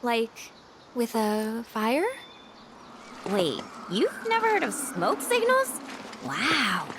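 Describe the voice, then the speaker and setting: An easygoing young heroine from a video game, teasing and casual. Her fairly high voice is animated but unhurried, with clear noise around it. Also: full character voice.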